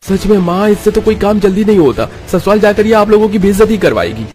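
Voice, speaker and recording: Young family member, cartoon, acted by a man: impatient, mocking, complaining, raised pitch. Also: animated, fast talker, darkish tone. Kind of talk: Hindi cartoon story dubbing.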